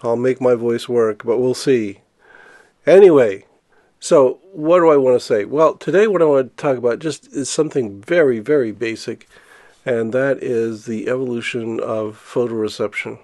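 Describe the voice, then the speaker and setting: An older man with a cold, congested and a bit hoarse, casually explaining a science topic and often restarting phrases. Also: medium pitch, moderate pace, warm tone. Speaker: science educator.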